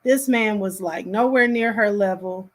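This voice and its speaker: Slow-talking woman, casual commentary. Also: medium pitch. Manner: appalled, emphatic, halting delivery. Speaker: reaction commentator hosting.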